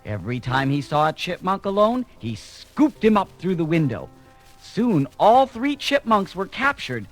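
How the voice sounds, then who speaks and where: Animated male storyteller with music behind. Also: sits high, unhurried. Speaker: narrator. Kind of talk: scripted children's read-along storytelling.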